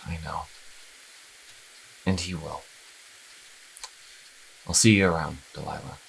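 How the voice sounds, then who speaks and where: A man playing a troubled, conflicted young priest in an audio drama, halting and brooding. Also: a bass voice, fairly even intonation, creaky, a bit bright, husky, exaggerated voice, low-quality recording. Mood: guarded. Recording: faint background noise.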